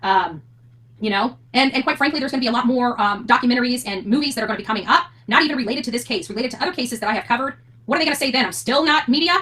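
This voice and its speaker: A woman's voice: a little high, very fast, bright, forceful tone. A woman ranting unscripted to her online audience in a defiant, indignant, emphatic manner. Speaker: online commentator covering court cases.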